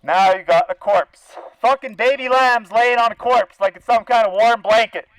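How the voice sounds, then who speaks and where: A man's voice: extremely high pitch, moderate pace, no room sound, poor audio. A man with a Canadian accent delivers an indignant, sarcastic, sweary protest rant as a confrontational activist, through a bullhorn outdoors. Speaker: animal-welfare activist. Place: outdoors by a zoo fence.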